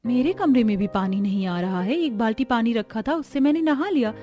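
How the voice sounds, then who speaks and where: A woman acts out a young daughter-in-law in a cartoon family drama. She has a high voice, fretful and talkative, full of questions and exclamations, with music behind her. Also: lively intonation, a little fast, dark tone, natural voice. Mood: dismayed. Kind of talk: Hindi cartoon dubbing.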